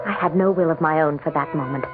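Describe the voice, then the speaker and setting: An actress playing a tormented, frightened governor's wife in a radio drama, dramatic and halting in her delivery. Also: low voice, lightly acted. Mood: dread, horror. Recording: dull lo-fi radio drama with loud music.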